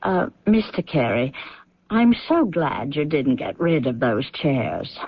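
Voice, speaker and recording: Deferential housekeeper, earnest and hesitant; husky, animated voice on a dull vintage radio recording. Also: female voice, low voice, slow, dry recording, exaggerated voice. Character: deferential, gently anxious female housekeeper. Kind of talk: radio drama acting.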